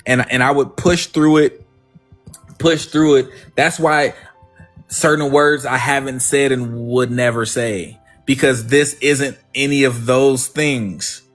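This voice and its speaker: A man hosts a relaxed livestream on positivity. He is effusive and keeps repeating words of thanks. His voice sits at an ordinary pitch over faint background music. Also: slow. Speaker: livestream host. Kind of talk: casual positive-affirmation talk.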